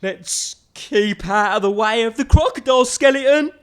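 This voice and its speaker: A woman voicing a big, bumbling, mischievous skeleton with drawn-out, exaggerated delivery in a children's storybook. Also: on the high side, clean tone, voice pushed up, natural voice. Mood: musing.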